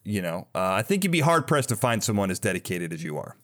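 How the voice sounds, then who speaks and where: A man's voice: lowish voice, sing-song, quick pace, fairly bright tone. A man hosting an interview podcast, effusive and conversational, with a theatrical flourish. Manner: effusive, theatrical list-like delivery in introductions. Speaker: podcast host. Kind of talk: upbeat conversational interview show.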